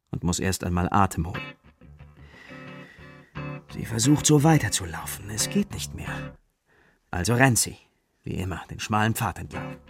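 A man's voice: on the low side, gravelly. A man narrating a scripted children's story as the narrator of a radio play. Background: plucked guitar music.

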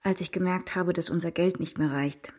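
A woman playing a guilty, pleading mother in a radio play, breathy, halting and defensive. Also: on the low side, fairly even intonation, darkish tone, lightly acted. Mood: ashamed. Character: guilty, pleading mother confessing to her husband.

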